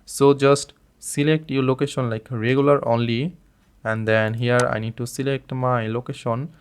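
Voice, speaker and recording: Bangladeshi man walking through a software setup. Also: medium pitch, little pitch movement, moderate pace, smooth. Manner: plain step-by-step explaining with frequent fillers and restarts, non-native phrasing. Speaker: tutorial host. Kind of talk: screen-recorded software tutorial.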